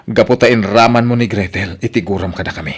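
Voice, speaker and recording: A man's voice: lowish voice, fast talker, breathy edge. A man acting the part of an emotional, pleading husband and father in an Ilocano radio drama, with an Ilocano accent. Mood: hurt, angry. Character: weary, wronged Ilocano husband and worried father.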